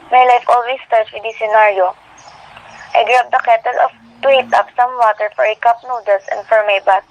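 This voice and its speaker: A woman's voice: a little high, lightly acted. A woman playing a weary young working girl who narrates her life in a scripted first-person style. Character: weary, orphaned eighteen-year-old working girl narrating. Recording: dull lo-fi recording.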